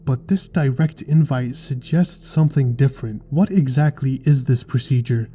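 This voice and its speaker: A man narrating a scripted explainer in a measured, articulate storytelling way. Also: medium pitch, dark tone, slightly rough. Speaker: video essay narrator.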